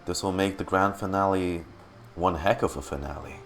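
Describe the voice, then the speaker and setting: A man streaming casual game reaction commentary, excitable and often repeating his words. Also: deep voice, normal speed, gravelly, faint music behind.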